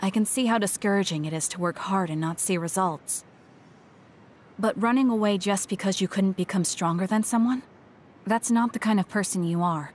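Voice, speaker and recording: An actress playing a composed, blunt young soldier in an anime video game, speaking earnestly and firmly. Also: female voice, mid-range voice, moderate pace, slight background noise, close to an everyday voice. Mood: earnest, concerned. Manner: earnest, direct, firm. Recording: loud music under voice. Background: game soundtrack music.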